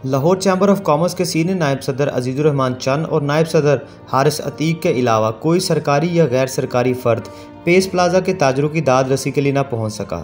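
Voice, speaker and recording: A man's voice: fairly high pitch, normal speed, faint music behind. Formal male news voice-over. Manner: formal, declaiming. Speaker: news narrator.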